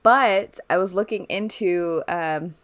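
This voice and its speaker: A woman's voice: on the low side, takes it slowly. A woman co-hosting a podcast in casual chat among friends, talking informally with plenty of "like". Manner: informal, uses "like" often. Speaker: podcast co-host.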